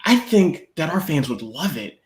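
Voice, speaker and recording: A man's voice: fairly high pitch, very animated, moderate pace. A man co-hosting a podcast, giving casual, opinionated film talk with incredulous rhetorical questions. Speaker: podcast co-host. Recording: echoey room.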